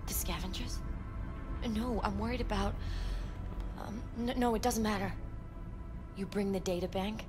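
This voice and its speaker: A woman's voice: mid-range voice, steady tone, unhurried, pauses often, over music, close to an everyday voice. A woman playing a guarded, uneasy corporate professional in a video game, clipped and evasive in her speech. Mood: guarded, curt. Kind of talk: naturalistic video game voice acting.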